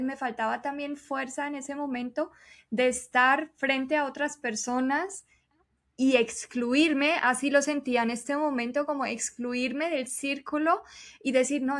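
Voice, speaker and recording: A woman's voice: sits high, a bit bright. A woman guest whose first language is German, sharing personal experience while searching for words. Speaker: podcast guest. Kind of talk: conversational podcast talk.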